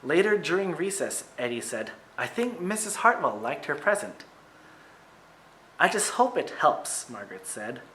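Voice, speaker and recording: A man who is a teacher reading a picture book aloud to children, with expressive, emphatic phrasing and short asides to his listeners. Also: a little high, moderate pace, slight background noise, rough recording.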